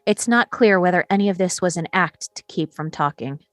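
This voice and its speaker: A woman with a fairly low voice narrates screenplay action in a table read. The sound is close and dry, and she reads scene headings and stage directions as written. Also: a little fast, no room sound, soft background music.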